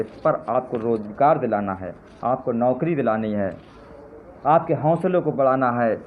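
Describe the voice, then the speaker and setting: A man giving an impassioned live address to his supporters, with fervent, repetitive appeals that rise to indignant jabs. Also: medium pitch. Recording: tinny phone-line sound with faint noise.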